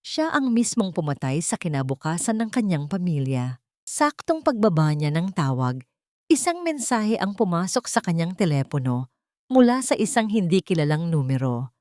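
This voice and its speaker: Female narrator, lowish pitch, solemn dramatic storytelling, very dry close recording. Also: sing-song, normal speed, warm tone, no echo.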